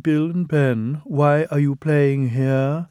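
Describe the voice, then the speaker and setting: A man's voice: fairly high pitch, fairly even intonation, slow pace, warm tone, clear, resonant tone, no room sound. A man voicing a stern but kindly senior steam engine, severe and fatherly, in a storybook.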